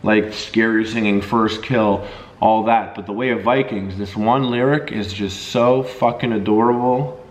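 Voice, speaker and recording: A man's voice: fairly low pitch, fairly even intonation, a little slow. Jokey man vlogging in an echoey room. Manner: jokey, slangy and profane. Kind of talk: casual chat to viewers.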